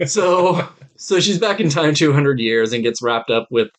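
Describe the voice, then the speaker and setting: A man's voice: on the high side, expressive, a little slow. A man co-hosting a podcast in casual chat, telling stories in an irreverent, digressive way with some swearing. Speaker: podcast co-host.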